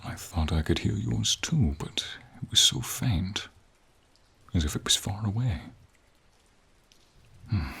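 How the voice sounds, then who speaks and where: Male actor as a noble gentle dragon, low husky murmur, unhurried, encouraging, old-fashioned speech, fantasy ASMR, rainfall behind. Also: heavily acted. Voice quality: breathy, husky, often near whisper. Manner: slow, tender, old-fashioned courtly phrasing. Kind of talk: fantasy ASMR roleplay, soft intimate acting. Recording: steady rain behind the voice. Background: rain.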